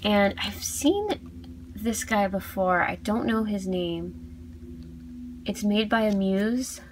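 A woman giving a casual unboxing review as a video host, cooing softly over cute things. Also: mid-range voice, animated, takes it slowly, a little hiss or hum. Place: indoors at a tabletop.

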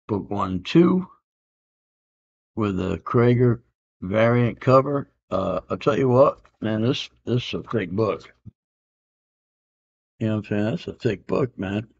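A man's voice: on the low side, takes it slowly, gravelly, long pauses. A man in his fifties casually showing off comic books at home, rambling with lots of fillers and easygoing slang. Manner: relaxed, rambling, many "uh" fillers, says "man" and "I can dig it". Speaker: comic collector showing an auction win. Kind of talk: casual unboxing chat. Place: home room with comic art on the walls. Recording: dull lo-fi home camera audio.

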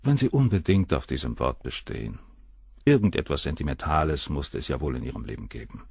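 Radio crime drama: a condescending doctor, very low and husky, lively intonation, dry recording. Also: male voice, a little fast, no room sound, exaggerated voice. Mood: cold. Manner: dismissive, clinical, condescending. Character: cold cynical male doctor, middle-aged suspect. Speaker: doctor questioned by the inspector. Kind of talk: radio play acting.

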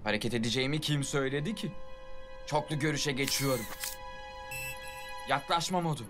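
An eager schoolboy adventurer played by a voice actor in a children's cartoon dub. The voice is confident and youthful, with mid pitch. Loud music plays behind it. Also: a little fast, lightly acted. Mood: confident, curious. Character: plucky adventurous schoolboy hero. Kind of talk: dubbed children's animated adventure.

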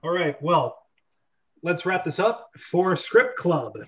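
A man with a slightly high voice hosts a relaxed group chat as podcast host. He talks casually, and the video-call recording sounds dull and lo-fi. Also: slow.

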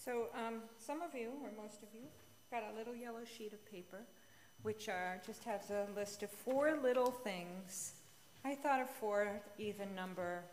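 Middle-aged female homilist, mid-pitched, reading from a script at a pulpit microphone, long church reverb. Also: normal speed, a little hiss or hum. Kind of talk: homily read aloud as a letter. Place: large church sanctuary, at the pulpit. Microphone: gooseneck pulpit microphone.

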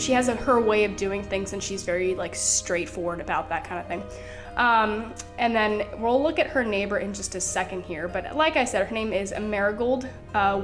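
A young woman chatting casually while she builds characters in a game, a little stuffy from being sick, rambling with lots of "like" and "you know" and small laughs. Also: medium pitch. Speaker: Sims gameplay creator. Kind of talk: casual chat over gameplay.

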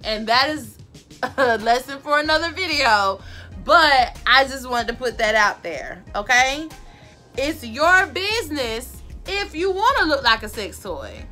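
A woman, giving sassy, emphatic advice to camera in her bedroom, repeating her points, with a loud voice that carries. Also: on the high side. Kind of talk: casual advice talk to camera. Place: bedroom, indoors.

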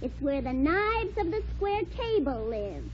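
An actress playing an eager young talking jackknife, boyish and earnest, in an old-time radio children's drama. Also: female voice, high-pitched, big pitch swings, a little slow, darkish tone. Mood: wistful, hopeful. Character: eager young boy jackknife, wistful and friendly. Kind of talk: 1940s radio acting. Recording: dull, noisy old radio recording.

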